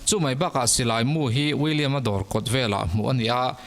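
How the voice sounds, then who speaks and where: A man's voice: mid-range voice, brisk, fairly bright tone. A man who is a native Mizo speaker, narrating mystery stories in a scripted storytelling style. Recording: long reverb, music underneath. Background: background music.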